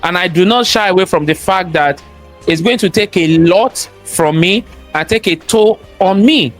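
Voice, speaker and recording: A man's voice: high-pitched, normal speed, faint music behind. A man with a thick Nigerian accent, a cybersecurity expert talking as a guest in an interview, emphatic and preachy, repeating his points and asking rhetorical questions. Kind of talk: interview conversation, motivational talk.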